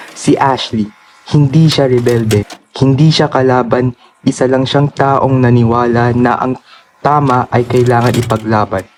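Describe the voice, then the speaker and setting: Man acting a mellow, defiant avenging son. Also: mid-range voice, slight background noise, natural voice. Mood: solemn resolve. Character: defiant grieving son exposing his corrupt governor father. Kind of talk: Filipino radio drama acting.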